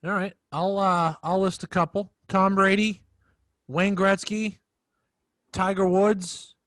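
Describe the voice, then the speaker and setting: A man's voice: high-pitched, little pitch movement, slow, fairly bright tone, no echo. A young man hosting a casual sports podcast with friends in a laid-back way, calling them fellas and reading sponsor spots. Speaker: podcast host.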